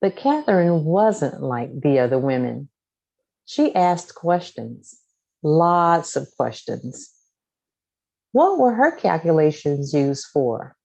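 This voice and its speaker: A woman reading a children's story aloud from a script, with deliberate, expressive phrasing. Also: low voice, vocal fry, dull audio. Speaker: storybook reader.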